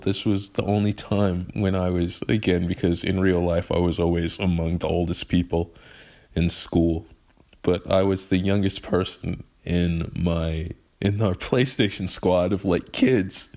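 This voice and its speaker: Slow, low-voiced young man venting. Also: fairly even intonation, darkish tone. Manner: halting and emotional, with frequent restarts. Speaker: vlogger. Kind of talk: personal venting monologue.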